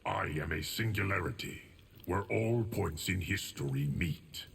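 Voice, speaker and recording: A man voicing a solemn, all-knowing, cryptic guardian of time in a video game, in a measured deep voice. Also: a bit bright, far from the mic, slight background noise, full character voice. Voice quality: deep, gravelly. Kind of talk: video game character dialogue.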